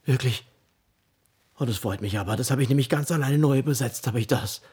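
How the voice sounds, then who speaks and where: An actor playing a solemn, vain poet in a comic radio play, speaking in a husky, measured way. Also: male voice, medium pitch, darkish tone. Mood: bashful pride. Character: solemn, vain poet reciting his own verse. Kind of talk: comic radio drama, declaimed verse.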